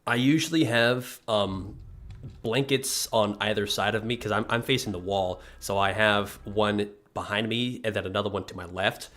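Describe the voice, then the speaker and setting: A man's voice: steady tone, moderate pace, a bit bright. A man chatting casually on a live game stream, reading chat aloud and reacting to the game, close to a desk microphone in a small room. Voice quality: deep voice. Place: small room facing a wall.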